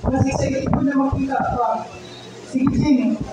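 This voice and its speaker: In a noisy, very echoey radio drama recording, a man plays an affectionate boyfriend in his thirties. His voice is high and casual, with a Filipino accent. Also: steady tone, measured pace. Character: sweet-talking 37-year-old boyfriend, a fast food manager. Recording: very echoey, distant, dull, noisy.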